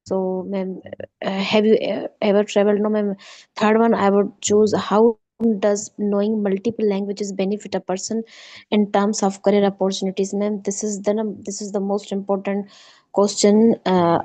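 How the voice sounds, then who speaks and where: Flat-toned Sindhi-speaking woman student. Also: medium pitch, normal speed, dry recording. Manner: polite, often says "ma'am", fillers and restarts. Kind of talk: online class speaking practice.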